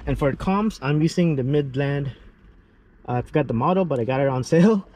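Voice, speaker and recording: A man's voice: on the high side, fairly quick, warm tone. A man giving a casual, rambling walkaround of his own vehicle outdoors, with lots of fillers and restarts. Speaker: vehicle builder showing his truck. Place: outdoors beside a parked vehicle. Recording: faint outdoor background noise.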